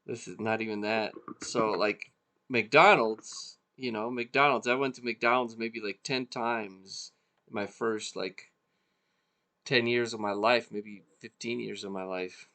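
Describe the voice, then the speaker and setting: A man born in Serbia, co-hosting a podcast in a relaxed, casual chat, swearing freely and trailing off mid-thought. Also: on the low side, slow. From Serbia (former Yugoslavia). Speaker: podcast co-host.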